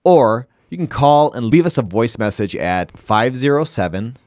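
A man co-hosting a podcast from a studio, jokey and animated, laughing easily as he talks. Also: mid-range voice, moderate pace, dry recording. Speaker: podcast co-host. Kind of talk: casual conversational podcast.

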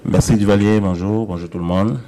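A man introducing himself in measured fashion as a guest on a studio panel. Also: lowish voice, fairly even intonation, dark tone. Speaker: panel guest, chef and entrepreneur.

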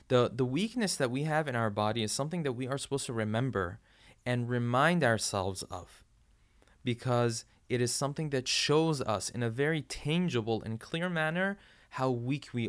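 A man giving a conversational religious lecture, explaining scripture verses that he recites fluently and checking in with his listeners with frequent tag questions. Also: mid-range voice. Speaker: religious teacher.

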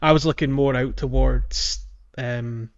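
A man's voice: medium pitch, steady tone, unhurried, gravelly, highs cut off. A man chatting casually as a gaming podcast host, walking through things in a hesitant, explanatory way.

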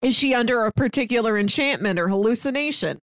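A woman's voice: fairly high pitch, animated, quick pace, no echo, clean studio sound. A woman co-hosting a podcast, chatting casually about a film with frequent "like" fillers and playful sarcasm. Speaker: podcast co-host. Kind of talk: casual movie discussion.

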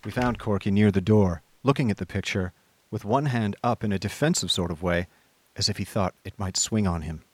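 A man playing a genial young gentleman narrator in a radio drama, telling his story in old-fashioned conversational style. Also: on the low side, a little fast, natural voice. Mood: wry recollection. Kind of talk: scripted first-person radio drama narration.

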